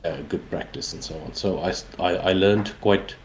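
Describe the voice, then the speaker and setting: Male game developer, forties, South African accent, native Afrikaans, low-pitched, conversational, noise behind him. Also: steady tone, normal speed. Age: 40s. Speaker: interview guest, indie game developer. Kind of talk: casual interview answers.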